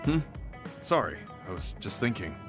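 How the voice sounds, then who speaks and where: An actor playing a reserved, old-fashioned veteran soldier in a video game, speaking in a measured, formal, serious manner. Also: male voice, fairly low pitch, very animated. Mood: somber, troubled. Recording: background music over dialogue.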